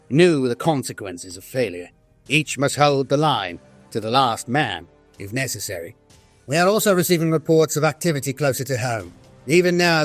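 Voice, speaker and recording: A man voicing a grave, dutiful staff officer of a far-future army in an audio drama, formal, solemn and measured. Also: mid-range voice, close to an everyday voice. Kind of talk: scripted audio drama narration of war journals and briefings. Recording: music behind a studio voice.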